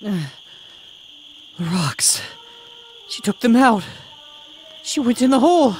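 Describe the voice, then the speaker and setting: A man's voice: very high voice, big pitch swings, slow pace, a little hiss or hum, exaggerated voice. A man voicing a haunted, anguished young man narrating his nightmare in a horror manga dub. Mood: distressed. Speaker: voice actor and narrator.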